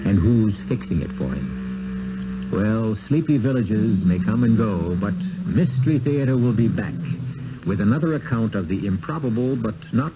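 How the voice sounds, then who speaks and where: A man with an American accent hosting and narrating a scripted radio mystery in a measured, theatrical manner. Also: on the low side, lively intonation, background noise. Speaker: host and narrator. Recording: muffled vintage radio broadcast. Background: music.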